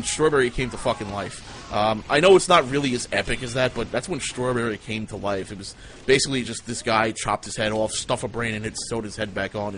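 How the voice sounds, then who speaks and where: A man's voice: mid-range voice, fairly bright tone. A man improvising tall tales casually as a livestream host, ranting and rambling with plenty of swearing. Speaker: livestream host telling stories. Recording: noisy live stream room. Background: clear background noise.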